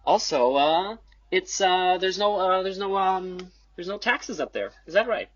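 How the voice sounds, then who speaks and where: A man's voice: high voice, lively intonation, a bit bright, dry recording. Hesitant man on a dull, dry recording. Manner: halting, repeats words. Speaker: podcast guest, audio play announcer. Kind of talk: casual, improvised chat. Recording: dull, muffled close recording.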